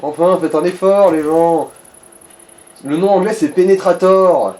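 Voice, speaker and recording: A man's voice: high-pitched, a little slow, darkish tone. A man co-hosting a let's play, chatting casually and with crude banter over the gameplay. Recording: roomy echo, music behind.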